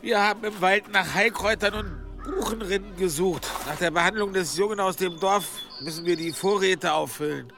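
An actor playing an obedient, anxious monk in an audio drama, respectful and uneasy. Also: male voice, high-pitched, normal speed, hardly any pauses, noisy background. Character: anxious, obedient monk gathering healing herbs. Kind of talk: German audio drama acting.

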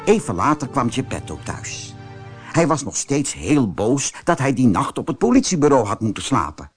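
A man narrating a children's fairy tale audiobook as an expressive, scripted storyteller. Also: medium pitch, normal speed, faint music behind.

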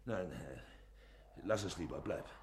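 A man's voice: low-pitched, lively intonation, a little slow, a little hiss or hum. An actor playing a bustling, uneasy male householder in a radio play, abrupt and repeating himself. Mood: nervous, hurried. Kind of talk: German radio play acting.

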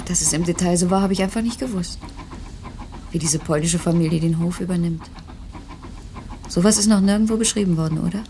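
A woman's voice: lowish voice, fairly quick, long pauses, dark tone, slight background noise, lightly acted. An actress playing a young, inquisitive, opinionated literary woman, self-assured and probing, in a naturalistic radio play. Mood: thoughtful.

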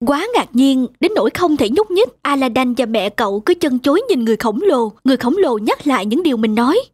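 A woman's voice: high-pitched, big pitch swings, a little fast, darkish tone, breathy edge, no echo. A woman storyteller reading a scripted fairy tale for children.

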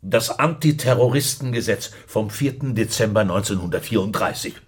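A man's voice: fairly low pitch, moderate pace, close to an everyday voice. Actor as grave, urgent male police colleague. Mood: grim, subdued. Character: alarmed, grim secret police colleague bringing bad news. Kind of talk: radio crime drama acting.

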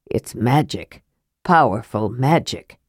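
A woman's voice: low-pitched, animated, measured pace, a little mellow, no echo, clean studio sound, voice pushed down. A woman voicing a grumpy, stubborn old male dwarf who growls curt replies. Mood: hushed awe.

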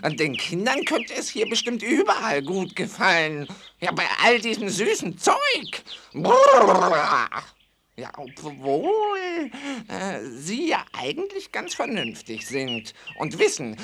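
Creaky, fussy little animal character. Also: mid-range voice, noisy background, heavily acted. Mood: musing. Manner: animated, drawn-out sighs and exclamations. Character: small short-legged grumbling animal friend, fussy and dramatic. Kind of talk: children's audio play acting.

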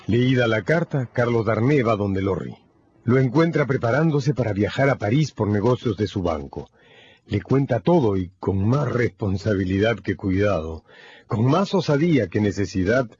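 A man delivering dramatic scripted narration for a radio novel, solemn and emphatic, with deliberate phrasing. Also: on the low side, animated, breathy edge. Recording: dull lo-fi radio production, loud music.

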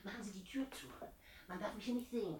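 A woman's voice: mid-range voice, fast, heavy background noise, close to an everyday voice. Woman voicing a wheedling mercenary landlady, coy and falsely modest, old radio play style. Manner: fawning, protests too much, coy hesitations. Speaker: boarding house landlady. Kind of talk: 1960s radio drama acting.

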